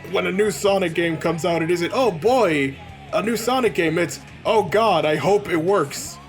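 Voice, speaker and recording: A man's voice: sits high, normal speed, soft background music. A man co-hosting a let's play, talking casually and bluntly in a rambling conversational way. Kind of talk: casual gaming commentary chat.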